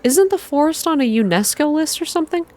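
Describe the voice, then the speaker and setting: A woman's voice: sits high, expressive, a little fast, a little mellow, voice pushed up. A woman voicing a curious, unsettled young female heroine of a visual novel, hesitant and questioning. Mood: troubled.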